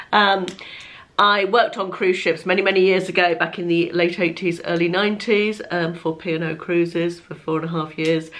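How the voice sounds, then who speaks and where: A woman talks straight to camera in an easy, chatty vlog. Her voice sits a little low and sounds slightly croaky, and she is self-deprecating, laughing between phrases. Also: a bit bright. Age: 50s. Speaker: lifestyle vlogger. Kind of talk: casual chat to camera.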